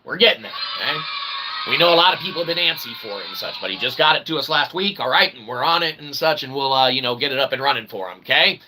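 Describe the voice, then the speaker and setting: A man delivering a rambling comic monologue as a channel host, mock-pompous and wordy, with a theatrical delivery and a gravelly voice. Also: mid-range voice, animated, fast, bright, forceful tone, a little hiss or hum, rough recording. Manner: mock-pompous, wordy, repeatedly ending phrases with "okay". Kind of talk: rambling philosophical comedy monologue.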